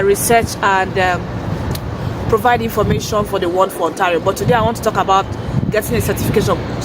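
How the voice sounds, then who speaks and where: A woman vlogging outdoors on a city street, hoarse from a sore throat, explaining things earnestly with fillers and restarts. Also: medium pitch. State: hoarse, losing her voice. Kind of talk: casual informational vlog. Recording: noisy street recording, distant from mic. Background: traffic and urban ambience.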